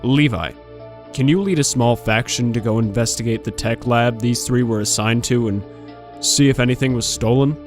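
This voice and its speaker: A man playing a calm, authoritative commander in an audio drama, with a measured, formal way of speaking. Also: mid-range voice, lightly acted. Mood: composed, firm. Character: calm, authoritative male commander of hero legion. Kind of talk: scripted audio drama acting. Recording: voice over clear guitar music.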